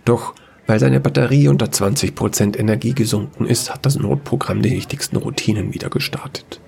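Man narrating, voice with creaky edge. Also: mid-range voice, a little fast, a little mellow. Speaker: storyteller. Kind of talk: scripted narration of a sci-fi fairy tale audio play.

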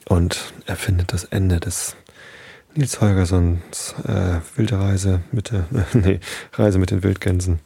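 A man hosting a bedtime story podcast, reading aloud calmly with a nasal voice from a head cold. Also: deep voice, slow, vocal fry, breathy edge. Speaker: podcast host. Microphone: close podcast mic.

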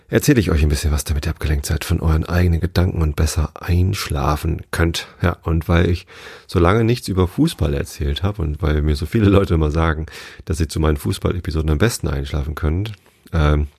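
A very deep-voiced man, gravelly and hoarse, chatting slowly and loosely on his own sleep podcast. His tone is dark and mellow, with plenty of fillers as he rambles along. The room sounds dry and close. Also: no room sound. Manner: rambling, relaxed, many fillers and restarts. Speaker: podcast host. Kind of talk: casual sleep podcast chat.

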